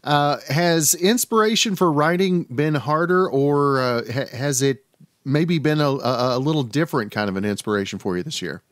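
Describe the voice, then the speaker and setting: A man's voice: fairly high pitch, normal speed. Man hosting, friendly and rambling. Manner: friendly, rambling questions with fillers. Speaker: podcast host. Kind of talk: interview podcast.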